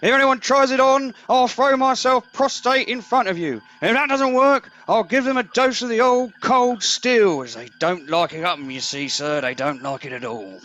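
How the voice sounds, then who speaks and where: High-voiced, eager old corporal. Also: male voice, moderate pace, hardly any pauses, pushed and bright, dull audio. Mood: eager, devoted. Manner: rambling, repetitive, deferential. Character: eager, muddled, rambling old corporal, head of security. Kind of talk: amateur comedy radio play.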